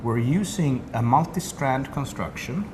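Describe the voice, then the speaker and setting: A man's voice: mid-range voice, expressive, unhurried. A man from Sweden with a Swedish accent, a company representative explaining a product in detail during an interview. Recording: noisy room ambience.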